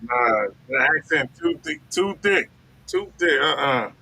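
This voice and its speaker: Blunt co-host with a forceful voice. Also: male voice, mid-range voice, expressive, measured pace, slightly rough, rough recording. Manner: blunt, repeats himself for emphasis. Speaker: podcast co-host. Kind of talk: casual chat.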